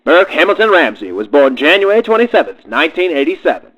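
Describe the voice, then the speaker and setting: A man's voice: on the high side, sing-song, quick pace, a bit bright. A man playing a mock-solemn, wryly snide storybook narrator in a comedy radio play. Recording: dull lo-fi radio sound with music.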